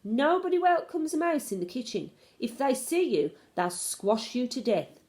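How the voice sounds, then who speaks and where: A woman's voice: fairly high pitch, sing-song, normal speed, a little mellow, pitched above the performer's own voice. A woman voicing a shrewd, affectionate old grandmother in a storybook, with an emphatic, doting manner. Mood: cautioning.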